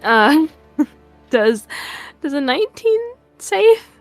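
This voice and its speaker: A woman's voice: high-pitched, takes it slowly, soft background music. A woman playing a tabletop game, describing her character's moves and laughing often. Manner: lively, laughing, swears freely. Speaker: player.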